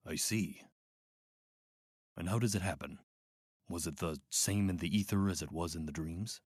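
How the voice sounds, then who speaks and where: Actor as low, husky, calculating institute doctor. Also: male voice, darkish tone, no room sound, clean studio sound. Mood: probing. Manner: measured, clinical, coaxing. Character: calm, manipulative, sinister male doctor running an institute. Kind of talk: radio drama acting.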